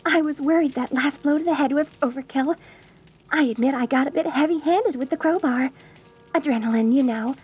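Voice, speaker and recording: A woman playing a sweetly deranged, lovestruck mortician bride in a dark comedy audio roleplay, cooing genteel endearments at the listener with theatrical menace. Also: high voice, brisk, dull audio, natural voice. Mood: cheerfully menacing.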